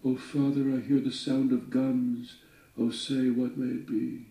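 A man's voice: mid-range voice, level tone, measured pace, vocal fry, warm tone, reverberant, recorded from a distance, voice pushed up, rough recording. A man reading the part of a sea captain's frightened young daughter in a poem, pleading and questioning. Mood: anxious, pleading.

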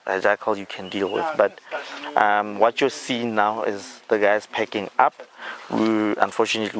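A man hosting a vlog, casually walking through an outdoor campsite and explaining it with easy fillers, recorded on a handheld camera outdoors. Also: fairly low pitch. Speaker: vlog host. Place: outdoor campsite in dry bush. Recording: outdoor camera audio with clear noise.

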